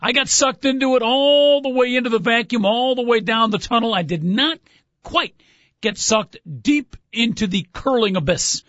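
A chatty male sports radio host with a high, light voice, rambling and teasing his on-air partner. The sound is dry and close, with no room around it. The audio is dull and muffled, like an older broadcast recording. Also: moderate pace, a bit bright, no echo. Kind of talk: casual sports radio chat.

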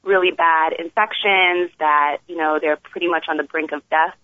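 A woman's voice: low voice, fairly even intonation, moderate pace, no room sound. A young woman from the Bronx, an emergency room doctor, explaining her work as a guest on a talk show. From the Bronx, New York. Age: young adult. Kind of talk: phone interview. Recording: tinny phone line, dry.

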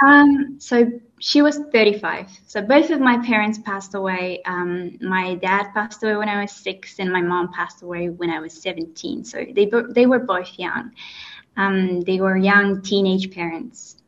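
Young woman, from Kazakhstan, interview guest, earnest halting storytelling, slightly dull recording. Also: mid-range voice, a bit bright. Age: 20s. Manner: earnest, halting, with frequent fillers. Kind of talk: telling a personal story in an interview.

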